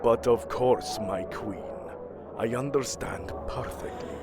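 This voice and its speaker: A man's voice: mid-range voice, takes it slowly, music in the background, natural voice. A man playing a proud, commanding king of the gods in an audio drama, with a regal, formal and imperious manner. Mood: guarded.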